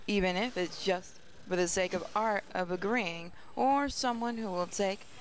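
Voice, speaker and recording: A woman's voice: fairly low pitch, slight background noise. A woman gaming host reading game narration aloud, with casual asides.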